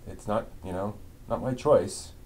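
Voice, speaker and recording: A man's voice: on the low side, a little slow, darkish tone, slight background noise. A man hosting a casual live stream, rambling and often muttering to himself as he works.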